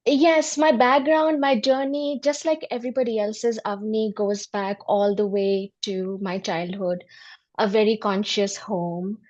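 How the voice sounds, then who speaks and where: A woman's voice: mid-range voice, fairly even intonation, unhurried, a little mellow. A middle-aged woman from Delhi, a women empowerment coach, speaking earnestly and reflectively as an interview guest, laughing often. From Delhi, India. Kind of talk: interview conversation.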